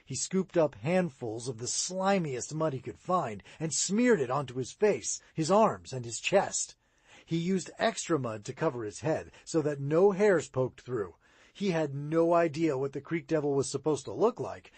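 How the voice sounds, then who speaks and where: A man reading a children's audiobook aloud in an expressive storytelling manner. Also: fairly high pitch, normal speed, husky, dry recording. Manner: expressive read-aloud, rising and falling. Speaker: audiobook reader.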